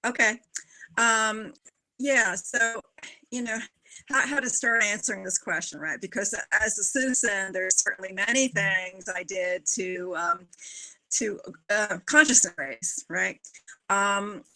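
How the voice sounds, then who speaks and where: Woman candidate, bright clear voice, earnest, lots of ums, video call. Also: medium pitch, unhurried, rough recording. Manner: earnest, conversational, with frequent fillers. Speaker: political candidate. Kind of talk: live roundtable discussion.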